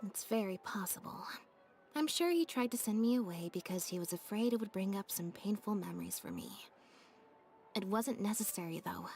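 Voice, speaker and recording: An actress voicing a reserved, composed young woman in a video game, with an understated, measured, soft-spoken delivery. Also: mid-range voice, a little hiss or hum, lightly acted. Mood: pensive, composed. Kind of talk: scripted video game voice acting. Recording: game audio under clear music. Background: background music.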